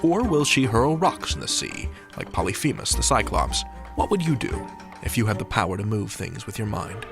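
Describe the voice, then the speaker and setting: A male podcast host with a creaky, medium-pitched voice. He presents with lively intonation over a clearly heard music bed. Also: normal speed. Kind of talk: scripted narration and interview talk.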